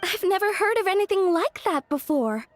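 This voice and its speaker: Brief: composed game heroine, light high voice, level and polite. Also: female voice, big pitch swings, normal speed. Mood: uncertain. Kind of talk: video game cutscene voice acting.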